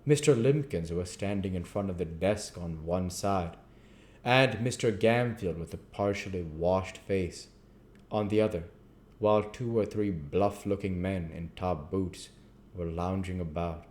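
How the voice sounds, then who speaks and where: A man's voice: low voice, measured pace, darkish tone. A man reading a classic novel aloud as scripted storybook narration. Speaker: audiobook reader.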